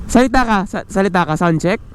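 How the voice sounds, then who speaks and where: High-voiced Filipino man vlogging casually. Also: lively intonation, fast talker, noisy background. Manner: chatty, addresses viewers directly. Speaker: motorcycle vlogger and gear reviewer. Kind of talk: casual vlog review mixing Tagalog and English. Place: outdoors, riding a motorcycle on the road. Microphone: microphone clipped inside a helmet.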